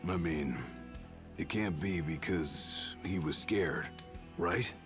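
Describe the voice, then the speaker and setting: Brief: a cocky young guard, deep and blunt. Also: male voice, slow. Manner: blunt, cocky, casual speech. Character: brash young male royal bodyguard. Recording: game audio with music.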